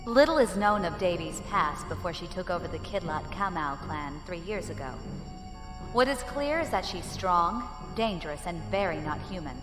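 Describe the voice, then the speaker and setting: A woman's voice: fairly low pitch, lively intonation, moderate pace, lightly acted. A woman playing a commanding, wary, impatient space pirate leader in an audio drama. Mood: measured, wary. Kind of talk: audio drama acting and narration. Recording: long reverb, music behind.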